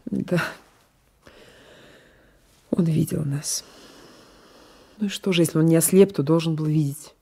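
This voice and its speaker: An actress playing a defiant, lovestruck young peasant wife in a radio play, breathy and fervent, often dropping to a whisper. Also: female voice, deep voice, measured pace, long pauses, warm tone, exaggerated voice. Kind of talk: 1980s radio play acting.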